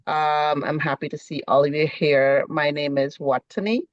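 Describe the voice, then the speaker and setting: A man from Cambodia introduces himself with a wry, hesitant humor. His voice runs somewhat high. The sound is dry and dull, as on a video call. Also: little pitch movement, moderate pace, no room sound. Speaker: panelist. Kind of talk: conversational introduction. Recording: muffled video-call audio.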